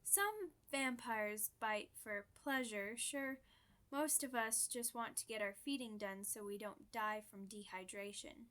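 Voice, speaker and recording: A woman's voice: a little high, a little slow, a bit bright. A woman voicing a polite, chatty, proud old female vampire being interviewed, speaking in genteel, slightly formal phrases for an ASMR roleplay. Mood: candid, matter-of-fact.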